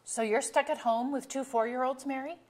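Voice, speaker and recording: A woman's voice: sits high, fairly even intonation, brisk, a little mellow, close to an everyday voice. A woman playing a sardonic, blunt middle-aged wife and mother in a staged comedy reading, with a dry delivery. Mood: dryly amused. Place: auditorium stage.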